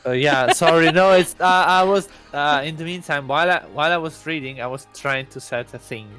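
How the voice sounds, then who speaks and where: A man's voice: fairly high pitch, brisk, fairly bright tone, clean tone, faint music behind. A man reading a visual novel as a let's play, chatting casually with a flustered, self-deprecating manner.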